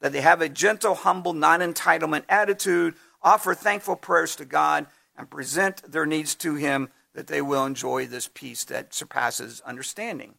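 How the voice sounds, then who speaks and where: Pastor guy giving a sermon, kinda high and sharp voice, losing it a bit, clears his throat. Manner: measured preaching with restarts and throat clearing.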